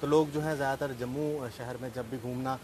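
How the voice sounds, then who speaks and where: A man's voice: medium pitch, fairly even intonation, fairly quick. A man in his thirties hosting a walking street report in an old bazaar, earnest and full of fillers. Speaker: reporter host. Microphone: handheld mic. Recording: noisy outdoor street.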